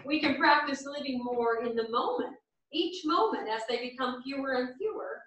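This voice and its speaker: An actress as a high-voiced, gentle older woman. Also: animated, moderate pace. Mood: reflective. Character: gentle, earnest older woman, easily puzzled, quietly droll. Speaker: actor in a staged reading. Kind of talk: theatrical staged reading. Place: small theatre stage. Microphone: distant camera. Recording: very echoey theatre, distant microphone.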